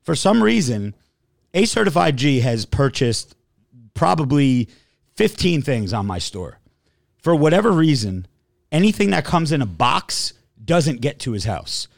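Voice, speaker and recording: A man's voice: mid-range voice, vocal fry, no room sound, studio quality. A man hosting a casual livestream podcast, rambling a little and reading out a long list of supporter names. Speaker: podcast host.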